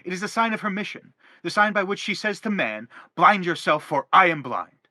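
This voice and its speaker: A man's voice: high-pitched, sing-song, brisk, pushed and bright, no room sound, studio quality, full character voice. An actor playing a middle-aged father in a stage play, formal and declamatory as he anguishes and justifies himself. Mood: insistent. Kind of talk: theatrical stage play acting.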